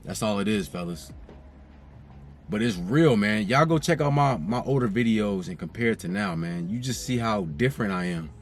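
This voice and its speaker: A man's voice: fairly low pitch, lively intonation, moderate pace, soft background music. A young man talking casually to his viewers as a vlogger, laid-back and conversational, repeating phrases for emphasis. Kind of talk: casual direct-to-camera vlog talk.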